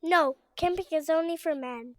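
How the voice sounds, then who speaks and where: A small boy acted by a woman in a radio soap drama, with a high-pitched childlike voice. He is anxious underneath but insists stubbornly that he is brave. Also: moderate pace. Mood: reluctant, anxious.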